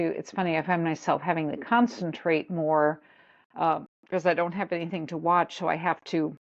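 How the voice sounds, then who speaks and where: A woman's voice: low voice, gravelly. A woman co-hosting a podcast, chatting casually and thinking aloud as she reviews an episode. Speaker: podcast co-host. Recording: dull lo-fi line.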